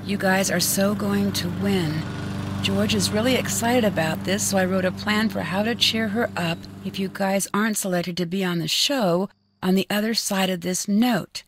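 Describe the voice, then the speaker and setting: A woman's voice: moderate pace, natural voice. An actress playing a bubbly, scheming, supportive young woman in a video game. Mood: upbeat. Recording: clear ambient rumble behind.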